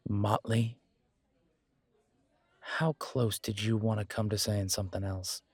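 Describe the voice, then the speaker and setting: Man as a wry, husky-edged alpha werewolf. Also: lowish voice, flat delivery, no echo. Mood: sardonic. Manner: sardonic, measured. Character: wry male alpha werewolf, ex-military, loyal and self-deprecating. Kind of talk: scripted audio roleplay drama.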